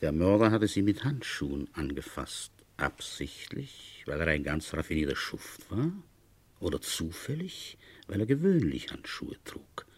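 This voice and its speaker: A man playing a shrewd, wry village police inspector in a radio play, deliberate and brooding as he tells his case. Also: low-pitched, big pitch swings, husky, full character voice. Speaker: narrator and lead actor. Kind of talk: radio play, first-person detective narration.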